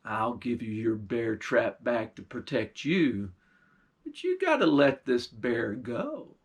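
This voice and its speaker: A male storyteller voicing Jack, a kind, clever young man who talks in a gentle, earnest way. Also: mid-range voice, sing-song, slow, slightly rough, pitched below the performer's own voice, heavily acted. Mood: firm.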